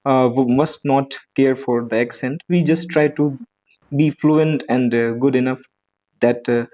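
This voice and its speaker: A man learning spoken English in an online lesson, speaking politely and hesitantly with many pauses. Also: mid-range voice, steady tone, darkish tone, lo-fi sound. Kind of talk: online tutoring conversation.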